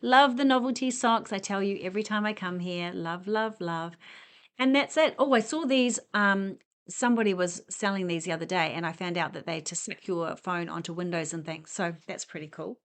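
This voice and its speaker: A woman's voice: lowish voice, moderate pace, fairly bright tone. A middle-aged woman chatting and rambling as she walks through a discount store, self-deprecating and casual. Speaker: shopping vlogger. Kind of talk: casual shop walk-through vlog.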